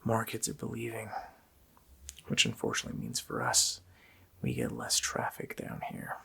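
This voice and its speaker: A man voicing a sadistic, joking Christmas demon in a gravelly, whispered ASMR roleplay, with a slow, mockingly playful menace. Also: fairly low pitch, very animated, pushed and bright, heavily acted. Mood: sinister, scornful. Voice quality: gravelly whisper with creaky growl.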